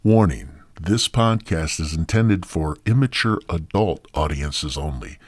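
A man hosting a solo comedy podcast, rambling casually and crudely with drawn-out, mock-grandiose theatrical delivery. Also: rumbling low, lively intonation, soft background music. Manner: crude, foul-mouthed. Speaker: podcast host.

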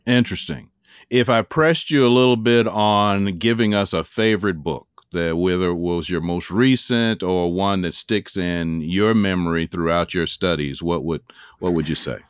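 A man from Chicago hosts the interview. His voice is low, smooth and resonant, and the recording is dry. He asks his questions in a measured, curious way. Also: no room sound. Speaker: interviewer, host. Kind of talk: conversational interview.

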